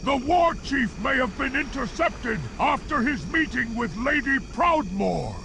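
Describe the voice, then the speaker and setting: A man plays a solemn game orc courier with a very high, creaky voice. He reports like a soldier, with clear noise behind him. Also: heavily acted. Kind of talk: fantasy game cutscene acting.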